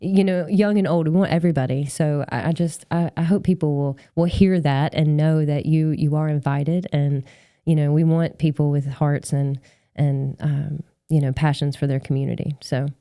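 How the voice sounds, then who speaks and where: Low, mellow-voiced woman in her forties. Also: fairly even intonation, normal speed, no echo, clean studio sound. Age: 40s. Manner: chatty, rambling, informal. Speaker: podcast host. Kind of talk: casual conversational interview.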